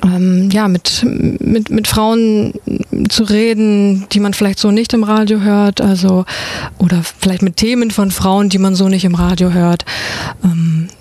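A woman's voice: medium pitch, flat delivery, unhurried, warm tone, dry recording. Female radio guest, middle-aged, from Brandenburg, mid-range voice, tentative phrasing, very dry studio sound. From Brandenburg, Germany. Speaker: studio guest, new radio show host. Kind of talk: casual radio interview talk. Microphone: close studio microphone.

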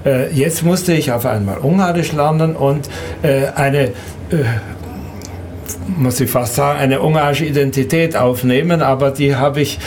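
An elderly man who grew up in Serbia and Hungary, recounting his life in an interview in a halting, hesitant way with repeated words. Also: medium pitch, a little mellow, slight background noise. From Danube Swabian from Serbia and Hungary. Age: 70s or older. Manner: halting, hesitant, repeats words, often ends with a questioning tag.

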